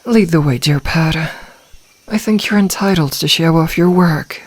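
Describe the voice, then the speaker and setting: A woman's voice: deep voice, measured pace. A woman voicing a tender, protective snake woman in a fantasy ASMR roleplay, coaxing and soothing, with a sly streak toward prey. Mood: teasing, sly. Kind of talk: ASMR roleplay, scripted fantasy audio drama. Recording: long reverberant hall-like echo.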